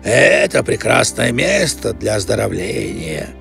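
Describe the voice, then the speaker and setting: An earnest court physician from a fairy-tale cartoon, acted by a man. His voice is heavily creaky, with lively intonation and a formal, instructive manner. Music plays underneath. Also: on the low side, unhurried, heavily acted. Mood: solemn advice. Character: earnest male royal court physician giving orders.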